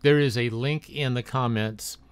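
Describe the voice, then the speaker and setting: A man's voice: medium pitch, steady tone, a little slow, clean tone, dry recording. A man narrating a drone video as a read-aloud factual voice-over, with occasional slips and restarts. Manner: reads a factual text, with small slips and restarts.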